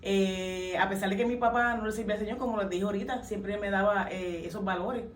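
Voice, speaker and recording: A woman's voice: medium pitch, steady tone, fairly quick. A young adult woman from Puerto Rico with a Puerto Rican accent, sharing her testimony as an interview guest, earnest and full of hesitant fillers. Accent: Puerto Rican Spanish. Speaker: guest, worship singer. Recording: roomy, distant mic, faint ambience.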